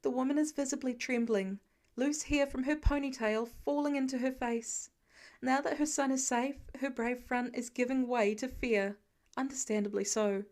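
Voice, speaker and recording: A woman's voice: sits high, fairly even intonation, normal speed, a little mellow. A woman reading scripted story narration as an audiobook-style narrator. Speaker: podfic narrator.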